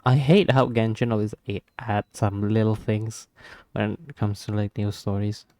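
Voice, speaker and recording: A man's voice: fairly low pitch, steady tone, normal speed, a little mellow, dry recording. A man giving casual gameplay commentary, reacting and repeating words to himself in a muttering way. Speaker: gaming video creator. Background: game music.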